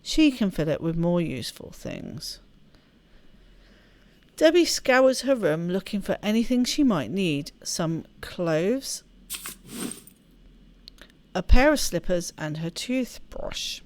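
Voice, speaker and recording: A woman reading a story aloud as expressive narration for a game playthrough. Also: fairly low pitch, slow, long pauses, no room sound. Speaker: let's play host reading game text aloud.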